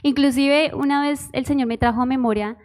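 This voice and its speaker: A woman's voice: sits high, fairly even intonation, a little fast, a little mellow. A woman in her twenties with a Bogotá Spanish accent, giving her personal testimony in church in a shy, giggly, hesitant way. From Bogotá, Colombia. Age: 20s. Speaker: church musician, pastor's wife.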